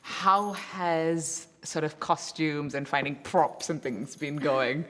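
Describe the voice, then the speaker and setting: A woman's voice: low-pitched, steady tone, slow, a bit bright. A woman narrating a documentary and informally leading a group as its presenter. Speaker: youth programme leader and presenter.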